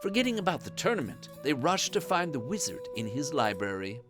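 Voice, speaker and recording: A storyteller reading a scripted children's bedtime story in an expressive, animated way. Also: medium pitch, brisk, music in the background.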